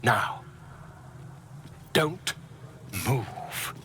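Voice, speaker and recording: An actor playing an eccentric, bungling, overconfident bard in a video game, with theatrical, flowery speech. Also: male voice, mid-range voice, lively intonation, extremely slow, pushed and bright, slight background noise, full character voice. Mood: hushed, focused. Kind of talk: video game character acting.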